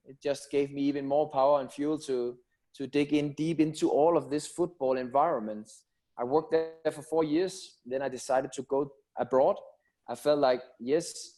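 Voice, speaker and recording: A man's voice: medium pitch, warm tone. A man telling his own story as an interview guest, in an earnest, reflective manner. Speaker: football academy founder and guest. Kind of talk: personal story told in a webinar interview.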